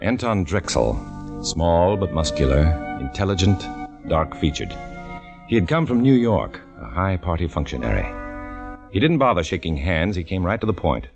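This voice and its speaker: An actor playing a male undercover agent who narrates his story in a 1950s radio drama, with measured, tense delivery. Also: low-pitched, very animated, gravelly, heavily acted. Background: music.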